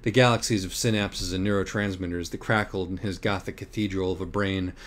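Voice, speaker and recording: A man's voice: low voice, steady tone, a little fast, slight background noise. A man reading scripted narration for an audiobook of a parody story. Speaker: audiobook reader.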